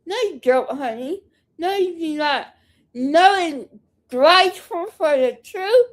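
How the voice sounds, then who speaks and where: A woman's voice: very high-pitched, animated, slow. A woman making unscripted reaction commentary, heckling in a combative, scornful and curt manner.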